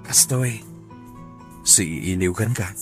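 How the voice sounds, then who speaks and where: A man's voice: deep voice, animated, slow pace, heavily acted. A man acting an earnest, lovestruck young man in a radio drama, with an Ilocano accent and a tender, pleading manner. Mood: friendly, shy. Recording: radio drama with music underneath.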